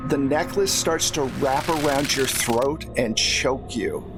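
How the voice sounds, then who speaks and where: A man's voice: mid-range voice, takes it slowly, music in the background. A man acting as game master, narrating scenes and explaining rules to the players as he checks in with them. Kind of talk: narrating and refereeing a tabletop game. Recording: clearly noisy background.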